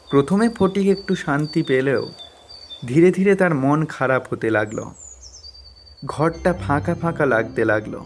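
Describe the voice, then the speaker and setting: A man narrating a scripted cartoon story as a storyteller. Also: on the high side.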